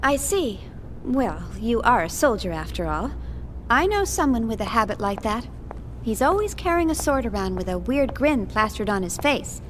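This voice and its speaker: An actress voicing a kind, earnest young female researcher who speaks gently and a little hesitantly in a game cutscene. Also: a little high, animated, normal speed, slight background noise, lightly acted. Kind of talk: video game cutscene voice acting.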